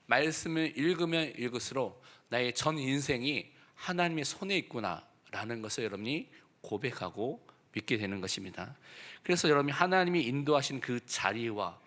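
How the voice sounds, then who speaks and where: A man preaching a church sermon as pastor, exhorting emphatically in a voice hoarse from a throat cold. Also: medium pitch, lively intonation, normal speed, sharp tone. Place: church service.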